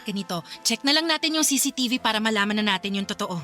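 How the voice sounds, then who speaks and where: A woman's voice: mid-range voice, animated, fast talker, bright, forceful tone, soft background music. An actress playing a blunt, practical but jumpy young woman in a Filipino radio drama.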